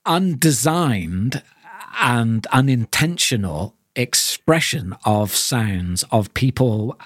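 A man's voice: medium pitch, expressive, slow pace, no echo. A man in middle age speaks as a podcast co-host. His delivery is reflective and searching, with stress on key words, and the sound is dry with hardly any room on it. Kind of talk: reflective discussion of audio drama.

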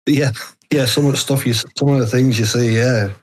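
A northern English man with a mid-range voice, speaking as an interview guest. His answers are casual and halting, full of hesitations as he explains himself. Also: medium pitch, steady tone. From north of England.

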